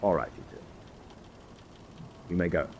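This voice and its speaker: An actor playing a principled German senior U-boat officer in a radio drama, deliberate and authoritative. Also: male voice, low voice, a little mellow, slight background noise. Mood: stern, probing.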